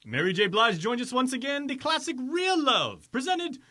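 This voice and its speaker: A man's voice: very high voice, sing-song, normal speed, noisy background. A man presenting in a mock-formal, grand scripted announcing manner. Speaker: presenter introducing readings.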